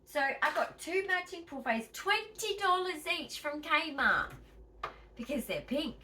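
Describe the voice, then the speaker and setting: An Australian woman talks to her viewers with a lively, swooping pitch that jumps up on the words she stresses. She is chatty and emphatic and sounds a little wheezy. She is recorded from a few feet away, with a faint hiss underneath. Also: measured pace, recorded from a distance. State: wheezy, tight-chested. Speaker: vlogger. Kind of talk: casual home vlog chat.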